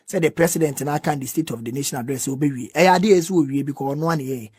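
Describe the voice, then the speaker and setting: A man with a Ghanaian accent in an animated political discussion, talking emphatically and repeating phrases for stress. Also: on the high side, racing, breathy edge, no echo, studio quality.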